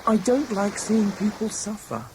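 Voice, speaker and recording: A woman's voice: mid-range voice, steady tone, unhurried, a little mellow, close to an everyday voice. A woman playing a frustrated, argumentative traveller from Earth, speaking informally in a sci-fi audio drama. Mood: frustrated, impatient. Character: frustrated, impatient person from Earth with dormant powers. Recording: clear background noise.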